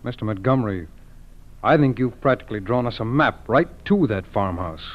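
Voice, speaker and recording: An actor playing a calm, shrewd male FBI agent in a 1940s radio crime drama, measured and courteous. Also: medium pitch, lightly acted. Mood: probing. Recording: thin, narrow-band vintage radio broadcast.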